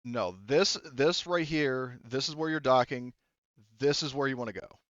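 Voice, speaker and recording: A man runs a tabletop roleplaying game as game master. He talks informally and haltingly, often restarting his sentences as he explains the scene. The recording is close and dry, slightly dull on top. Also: mid-range voice, fairly bright tone, dry recording.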